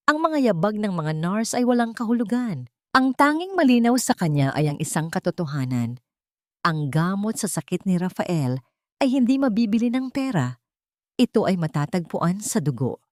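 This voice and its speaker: A woman with a Filipino accent narrating a dramatic story in a solemn, deliberate manner. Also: fairly low pitch, darkish tone, dry recording, clean studio sound. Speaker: audiobook narrator.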